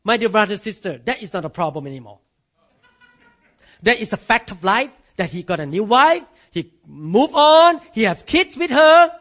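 High-voiced Thai man preaching emphatically. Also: sing-song, normal speed, fairly bright tone, muffled recording. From Thailand. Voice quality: non-native grammar. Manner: emphatic preaching, voice rising and falling, calls of amen and hallelujah. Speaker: pastor. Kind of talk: sermon.

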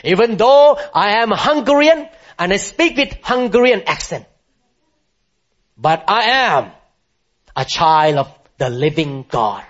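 High-voiced man preaching emphatically. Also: very animated, unhurried, a bit bright. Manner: emphatic preaching, rising to high impassioned declarations; calls for congregation responses. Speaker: pastor. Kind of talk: sermon. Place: room with a congregation. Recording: muffled lo-fi sermon recording.

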